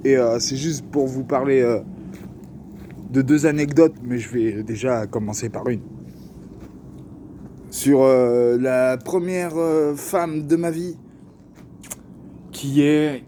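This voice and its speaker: Slangy Parisian man, dark mellow voice. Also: mid-range voice, takes it slowly, long pauses, slight background noise. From Paris region. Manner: slangy, joking asides, many fillers. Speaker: vlogger. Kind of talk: casual storytelling to camera.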